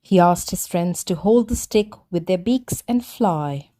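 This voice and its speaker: A woman's voice: on the low side, lively intonation, unhurried, warm tone. Woman reading a children's story aloud.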